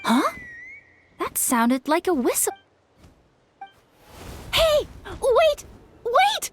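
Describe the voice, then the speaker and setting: Very high-voiced, eager young trainee flyer. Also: female voice, wildly swooping pitch, extremely slow, noisy background, heavily acted. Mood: urgent. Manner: eager, earnest. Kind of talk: video game voice acting. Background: background music.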